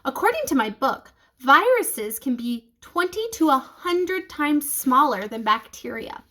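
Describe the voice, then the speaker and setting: A woman's voice: high voice, big pitch swings, unhurried, sharp tone, exaggerated voice. A woman reading the part of a studious schoolgirl who recites facts in a matter-of-fact way in a children's storybook. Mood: informative.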